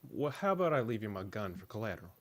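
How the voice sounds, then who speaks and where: A man's voice: lowish voice, very animated, rapid-fire. A man playing easygoing, casual men of a frontier town story in an amateur radio play. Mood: casual, persuading.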